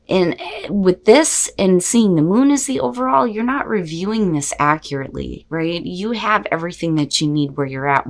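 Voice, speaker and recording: A woman hosting an unscripted tarot reading, talking straight to viewers in an expressive, conversational way with rhetorical questions and salty language. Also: lowish voice. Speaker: tarot reader and host.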